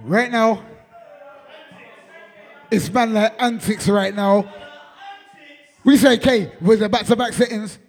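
A man MC, voice worn out from performing, loudly laughing and shouting out names in live banter. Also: high-pitched, takes it slowly, long pauses, soft background music. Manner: loud, laughing, shouts out people. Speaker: MC on a live stream. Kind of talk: hyped live banter between sets.

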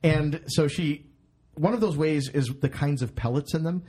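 A man's voice: fairly high pitch, moderate pace, mellow, husky. A man in his thirties hosting a podcast in casual chat, rambling, swearing and laughing at himself. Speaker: podcast host.

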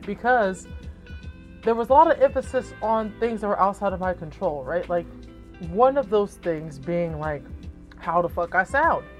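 A woman in her thirties with a deep voice, hosting her own podcast in a frank, casual monologue full of swearing and rhetorical asides. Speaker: podcast host.

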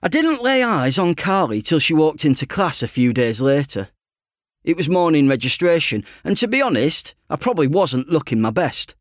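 A man narrates a first-person story in a chatty, self-deprecating way. His pitch moves about a great deal, and the sound is dry and close. He tells it expressively, as a teenage boy confiding in the listener. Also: sing-song, normal speed, dry recording. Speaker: author reading his own audiobook.

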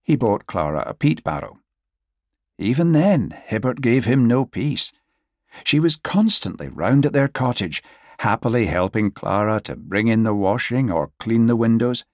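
A man's voice: medium pitch, big pitch swings, a little slow, no room sound, clean studio sound. A man reading a novel aloud as scripted audiobook narration.

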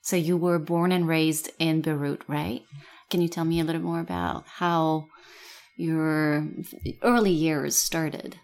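A woman hosting an interview, who speaks softly and asks gentle questions. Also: low voice, level tone, unhurried. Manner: soft-spoken, brief backchannels. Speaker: interviewer host.

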